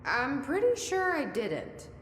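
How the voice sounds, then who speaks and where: A woman's voice: high-pitched, huge pitch swings, slow pace, a bit bright, heavily acted. An actress playing a no-nonsense young woman director on a mission, dry and matter-of-fact, in a video game. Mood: puzzled, dry. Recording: echoey hall, faint ambient noise.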